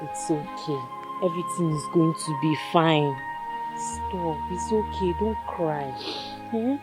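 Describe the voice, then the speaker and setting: A woman's voice: deep voice, slow pace, loud music behind the voice. A woman playing a soothing devout older sister.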